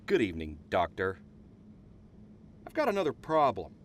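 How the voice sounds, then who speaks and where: An actor playing a corrupt small-town sheriff in a horror audio drama, gruff, coarse and menacing. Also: male voice, fairly low pitch, huge pitch swings, normal speed, a little hiss or hum. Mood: conspiratorial.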